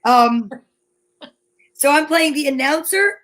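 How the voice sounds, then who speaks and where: A woman's voice: sits high, animated, slow pace, fairly bright tone. A woman cast member chatting casually, in a joking and self-deprecating way. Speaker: cast member of an amateur radio show.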